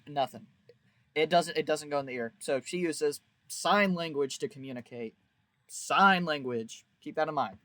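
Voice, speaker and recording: A man's voice: on the high side, a bit bright, no echo. A man giving a casual, rambling game review in a blunt, jokey, informal way, leaning on words for emphasis. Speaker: video creator reviewing a visual novel.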